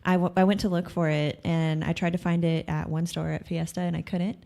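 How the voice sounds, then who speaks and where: A woman talks as a podcast guest, her voice fairly low. She is thoughtful and hesitant and fills her pauses with ums. Her talk is casual and unhurried. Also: level tone, creaky, mellow. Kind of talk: casual interview chat.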